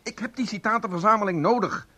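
A man's voice: high-pitched, fast, bright, forceful tone, breathy edge, exaggerated voice. A man acting a stiff, dutiful broadcast employee in a Dutch radio play, with a Netherlands accent. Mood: exasperated. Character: stiff, dutiful male broadcast obituary programme maker. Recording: noisy radio play recording.

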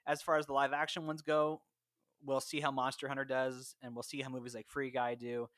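A man's voice: mid-range voice, little pitch movement, a little fast, a bit bright, dry recording. A man hosting a podcast in a conversational style on a close mic. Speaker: podcast host. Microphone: close personal mic, remote recording.

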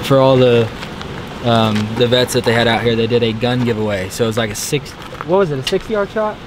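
A man's voice: mid-range voice, a little fast. A young man chatting casually as he films an archery event outdoors on a rainy mountain, upbeat and self-deprecating. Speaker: vlogger filming an archery event. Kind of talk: casual vlog narration. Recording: outdoor camera audio with loud background noise.

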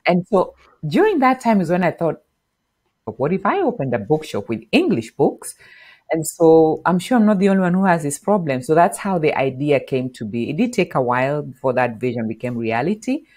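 A middle-aged woman from Kenya, a podcast guest, explaining marketing in an animated interview on a lapel mic in a home office. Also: deep voice, a little fast, slightly rough. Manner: animated, gestures while explaining. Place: bright home office with bookshelf.

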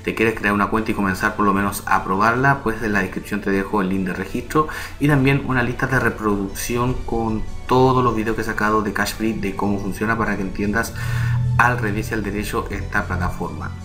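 A man's voice: lowish voice, fairly even intonation, brisk, over music. A man giving a chatty, step-by-step voice-over for a screen-recorded tutorial, often checking in with the viewer as he explains. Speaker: online earnings tutorial creator.

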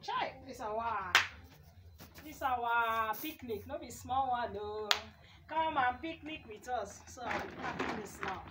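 A chatty Nigerian woman in her thirties narrates her family outing into a handheld phone, laughing easily. Her voice sits a little high and she speaks slowly and unhurried. Steady background noise hangs under the phone audio. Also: recorded from a distance. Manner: chatty, playful, laughs often. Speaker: vlogger. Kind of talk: casual vlog chat. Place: outdoor yard and indoor kitchen. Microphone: handheld phone camera.

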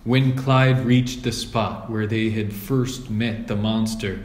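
A man's voice: lowish voice, steady tone, slow, darkish tone, slight background noise. A man reading a children's story aloud as scripted narration. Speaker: storybook reader. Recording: roomy echo.

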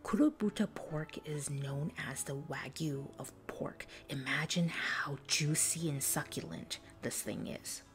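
A woman narrating a food review in a soft, breathy whisper, calm and descriptive. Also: sits low, a little slow, hardly any pauses, over music. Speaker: food vlogger.

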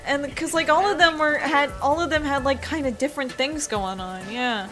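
Very high-pitched woman, game music behind. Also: moderate pace. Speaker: participant in a group video game let's play. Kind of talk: excited group gaming banter, with dramatic read-aloud of dialogue.

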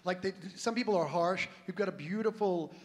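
A man's voice: high voice, a little fast. A middle-aged man hosting a live show, joking and rambling with his guest on a theater stage into a handheld mic. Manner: joking, rambling, self-deprecating. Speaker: show host. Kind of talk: live variety show banter. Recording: stage voice in a reverberant hall.

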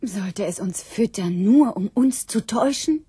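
A woman's voice: mid-range voice, animated, unhurried, warm tone, husky, dry recording, clean studio sound. A woman voicing a naive, puzzled sow in a literary radio play, with a simple, questioning manner. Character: naive, puzzled sow content with straw and trough. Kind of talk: literary radio drama acting.

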